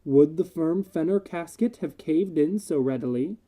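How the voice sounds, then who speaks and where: A narrator giving a measured, solemn dramatic reading of literary horror fiction. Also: mid-range voice, warm tone.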